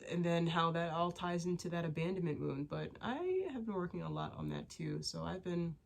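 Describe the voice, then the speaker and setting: A young woman with a low voice talks openly and at length to her viewers. She is getting over a cold, so she sounds stuffy and sniffles now and then. Her sentences ramble, full of "like" and "you know" and restarts. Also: expressive, moderate pace, vocal fry. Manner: rambling and candid, many fillers and restarts. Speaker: vlogger. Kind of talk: casual chat to camera.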